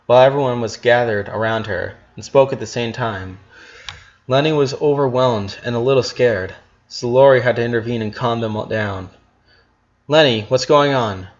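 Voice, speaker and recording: Man narrating a story, slightly muffled. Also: mid-range voice. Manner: occasional stumbles and self-corrections. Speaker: narrator reading fan fiction aloud. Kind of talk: dramatic audiobook-style story narration.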